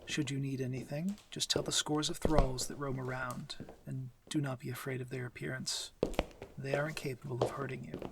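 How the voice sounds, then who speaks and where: A man playing a courteous, commanding vampire lord in an intimate ASMR roleplay, speaking formally in a measured, breathy, near-whispered voice. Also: mid-range voice, flat delivery, heavy background noise, close to an everyday voice. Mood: calm, instructive. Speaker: audio roleplay voice actor.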